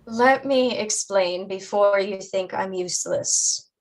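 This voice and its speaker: A woman playing a patient, caring mother in a staged drama reading, deliberate and instructive in her way of explaining. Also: medium pitch, rough recording. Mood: encouraging.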